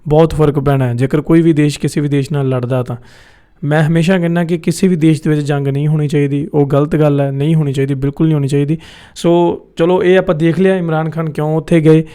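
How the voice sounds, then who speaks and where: Flat-toned Punjabi man, higher mellow voice. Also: clear, resonant tone. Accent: Indian Punjabi. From India. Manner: mixes in English words. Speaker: host of a reaction video channel. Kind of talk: casual commentary to viewers.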